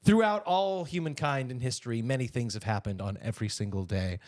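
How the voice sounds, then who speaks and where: A man's voice: medium pitch, fairly quick, dry recording, studio quality. A man in his thirties co-hosting a podcast in a casual, playful chat, with a jokey, bantering way of talking. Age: 30s. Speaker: podcast co-host.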